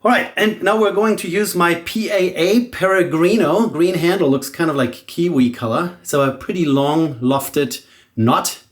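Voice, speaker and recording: A man's voice: a little high, a little slow. A middle-aged man from Germany, a native German speaker with a German accent, talking casually through a shaving demonstration and thinking aloud as he goes. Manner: relaxed, thinking aloud with frequent restarts. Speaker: shaving vlogger. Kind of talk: casual product demo chat.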